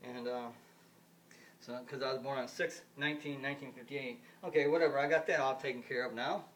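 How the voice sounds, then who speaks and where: A man's voice: mid-range voice, fairly even intonation, brisk, fairly bright tone. Rambling man in his fifties, distant mic. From United States. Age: 50s. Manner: repetitive, restarts and circles back on points. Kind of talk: rambling solo monologue. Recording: distant mic with faint background noise.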